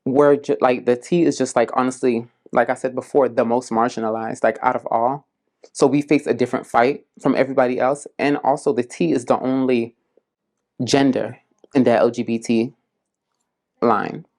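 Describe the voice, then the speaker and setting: A woman from South Florida, a community case manager, giving unhurried, earnest interview answers, often pausing with "um" and "like". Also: extremely low pitch, fairly even intonation, a little mellow. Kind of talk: casual interview answers to prompts.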